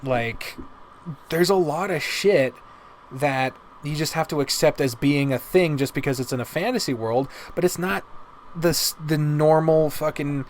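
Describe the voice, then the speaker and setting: A man's voice: on the high side, unhurried. A man giving casual, opinionated commentary as a video host, rambling and swearing heavily as he goes. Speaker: video host reviewing visual novels. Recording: noticeable background noise.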